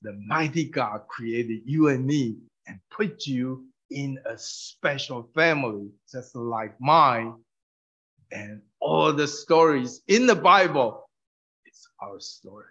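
A man whose first language is Mandarin gives a halting testimony sermon, pausing for an interpreter and using many fillers and restarts. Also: medium pitch, lively intonation, pushed and bright, breathy edge. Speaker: preacher sharing testimony. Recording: dull muffled recording.

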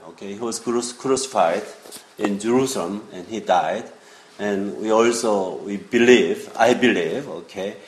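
An older man preaching informally in halting, English, often checking in with his listeners. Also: lowish voice. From South Korea. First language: Korean. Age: 50s or older. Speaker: visiting preacher and seminary teacher. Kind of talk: informal sermon to young people. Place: indoor church room. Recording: echoey room with faint noise.

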